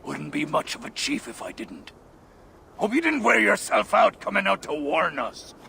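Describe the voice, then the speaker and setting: An actor playing a boisterous, coarse dwarf chief in a video game, blunt and earthy. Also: male voice, sits high, wildly swooping pitch, moderate pace, vocal fry, pushed and bright, exaggerated voice. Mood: boisterous, jokey. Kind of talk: video game cutscene acting. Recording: faint ambient noise.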